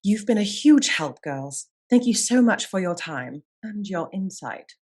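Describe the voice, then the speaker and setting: A female police detective in a period radio mystery. She is calm and courteous, putting questions in a measured, reassuring voice of medium pitch. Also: breathy edge. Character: composed, courteous lady detective questioning witnesses. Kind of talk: radio play acting.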